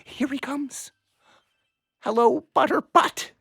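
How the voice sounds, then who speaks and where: A woman in a naturalistic audio drama playing a gentle, affectionate bird-loving parent. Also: sits high, takes it slowly, gravelly, dry recording, studio quality, exaggerated voice. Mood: delighted.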